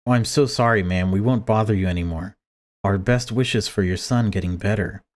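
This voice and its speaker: A man's voice: fairly low pitch, moderate pace, close to an everyday voice. Visual novel cop voiced by a male reader: courteous, casual, easily fooled. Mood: polite. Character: polite gullible male police officer.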